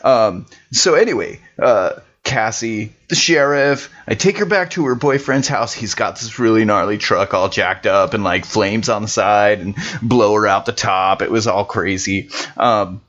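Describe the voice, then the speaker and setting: A man playing an excitable, bewildered biker dude in a tabletop game, rambling through a story in exclamatory slang. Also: lowish voice, measured pace, few pauses, muffled recording. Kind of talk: in-character improvised recap, slangy. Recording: noisy home recording, mouth clicks.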